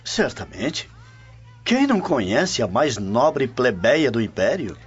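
An actor playing a suave, suspicious Roman gentleman in a radio drama, deliberate and theatrical. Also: medium pitch, big pitch swings, breathy edge, heavily acted. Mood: intrigued, suspicious. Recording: dull lo-fi radio drama audio. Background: music.

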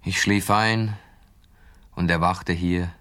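A weary male patient heavily sedated in a radio drama. His deep voice drags very slowly through drowsy, disjointed phrases, sounding dazed and forlorn. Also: steady tone, bright, forceful tone, exaggerated voice. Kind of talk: German-language radio play acting.

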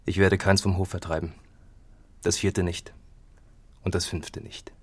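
Deep, husky, brooding farmer. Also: male voice, little pitch movement. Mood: quiet, firm. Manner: grave, deliberate, poetic. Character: solemn, brooding, wealthy lone farmer. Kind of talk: literary radio drama acting.

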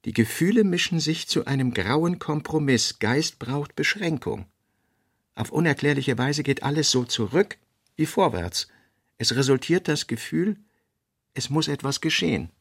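A man narrating a radio play, reading fragmentary notes in a measured, clipped way. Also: fairly high pitch, expressive, slightly rough. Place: radio studio.

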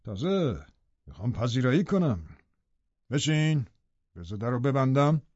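A man's voice: mid-range voice, big pitch swings, darkish tone, dry recording, highs cut off. An actor playing a domineering, sneering villainous father in an audiobook, commanding and sardonic with barely contained anger. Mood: falsely courteous. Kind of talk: audiobook drama acting.

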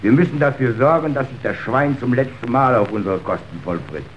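An actor playing a bitter, vengeful injured factory worker in a radio drama, gruff and curt in manner. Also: male voice, mid-range voice, expressive, brisk, lightly acted. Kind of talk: German radio drama acting. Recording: dull, noisy old radio recording.

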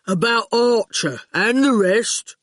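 A woman voicing a huge, dim, grinning male goon who talks in slow, blunt, clipped phrases in a storybook reading. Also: on the high side, animated, no echo, voice pushed up. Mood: enticing. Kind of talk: audiobook character voice.

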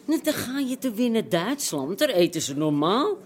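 An actress with an Amsterdam accent playing a chatty, bossy, caring café barmaid in a radio drama, brisk and direct. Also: female voice, medium pitch, big pitch swings, lightly acted. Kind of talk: Dutch radio drama acting.

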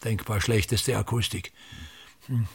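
An actor playing a pompous, tyrannical theatre director in a radio drama, declamatory, pedantic and condescending, with a gravelly, husky voice. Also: male voice, fairly low pitch, fairly even intonation, measured pace, slight background noise. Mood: lecturing, self-important. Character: pompous, tyrannical theatre director rehearsing his own comedy. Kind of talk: German radio drama acting.

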